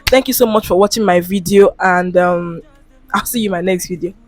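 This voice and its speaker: A woman's voice: lowish voice, fairly quick, a little mellow, dry recording, faint music behind. A young woman from Nigeria with a Nigerian accent, telling a story in a casual vlog while she does her makeup, acting out the lines of the people in it. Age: young adult. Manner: chatty and dramatic, acting out quoted lines. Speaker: vlogger.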